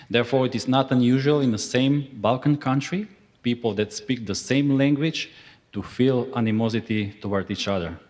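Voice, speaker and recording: This is a man in his forties from Macedonia, speaking English as a second language. He is hesitant, with many ums and uhs, and his voice is slightly low. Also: a little mellow. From North Macedonia. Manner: hesitant, many fillers, non-native phrasing. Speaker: interviewee. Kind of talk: formal interview testimony.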